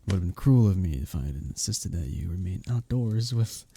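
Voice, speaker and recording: Man playing a dark-voiced, breathy, flirtatious medieval innkeeper. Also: low-pitched, expressive, brisk, no echo, exaggerated voice. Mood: courteous, warm. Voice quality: breathy, soft. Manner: flirtatious, courtly, soft chuckles between phrases. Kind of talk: intimate ASMR roleplay with flowery old-fashioned speech.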